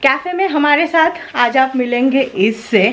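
A woman's voice: sits high, lively intonation, unhurried, fairly bright tone, faint music behind. A woman in her thirties with an Indian accent chats casually to camera as a vlogger, switching between Hindi and English. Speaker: pet vlogger. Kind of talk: casual vlog chat to camera. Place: indoors, home bedroom and cafe. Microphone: camera at arm's length.

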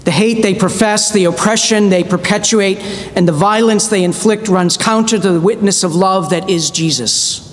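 A middle-aged man with a notably high voice delivers an earnest sermon from a church pulpit, slowing down to lay weight on his key phrases. His words carry a long echo through the sanctuary, with a faint low rumble beneath them. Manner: measured, earnest preaching with slow emphatic phrases. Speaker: priest.

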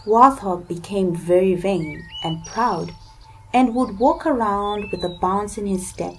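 A woman narrating a scripted folktale as an expressive storyteller, with deliberate emphasis. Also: mid-range voice, soft background music, poor audio.

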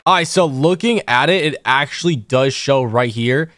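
A man's voice: medium pitch, animated, normal speed, fairly bright tone, slight background noise. A young man giving casual, slangy, reactive commentary over his gameplay. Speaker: gaming video creator. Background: basketball video game sounds.

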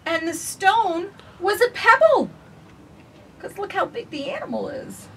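A woman in her forties reading a picture book aloud for children with expressive, sing-song phrasing, recorded outdoors on a porch. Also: very high-pitched, unhurried. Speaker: children's storytime reader. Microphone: camera a few feet away. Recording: distant camera mic, faint outdoor noise.

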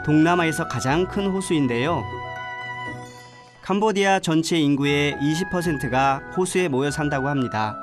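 A man narrating a travel documentary in a gentle, reflective storytelling voice. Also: a little high, expressive, moderate pace. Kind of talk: scripted voice-over narration. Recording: voice-over with music behind. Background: background music.

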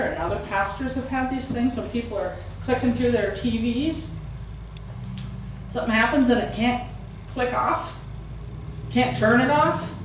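A middle-aged woman preaching a sermon in a small church room, with a big, carrying voice and lively gestures, recorded without a microphone. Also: on the high side, slight background noise. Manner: loud voice that carries, animated and gesturing. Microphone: far camera, no microphone. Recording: very echoey room, voice heard from a distance.

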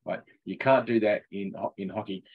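Husky middle-aged male hockey coach. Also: on the low side, normal speed. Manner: chatty explainer who laughs at his own jokes. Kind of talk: online rules session. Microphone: video call. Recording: dull lo-fi video call audio.

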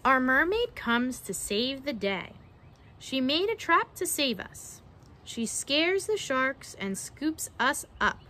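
A woman's voice: high-pitched, sing-song, slow, sharp tone. A young adult woman reading a children's picture book aloud expressively, dramatizing the verses and putting questions to her young listeners. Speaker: read-aloud storyteller. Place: outdoors on a wooden backyard deck.

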